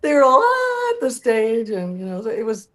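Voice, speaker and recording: A middle-aged woman telling animated personal stories as an interview guest, often acting out what people said. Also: a little high, moderate pace. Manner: acts out quoted dialogue. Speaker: interview guest, musical performer.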